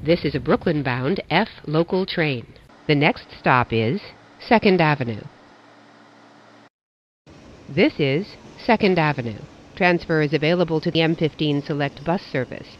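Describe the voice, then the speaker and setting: An automated-sounding subway announcer reading stops and transfers in flat, measured phrasing. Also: medium pitch, a little hiss or hum. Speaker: train announcer. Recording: dull lo-fi audio.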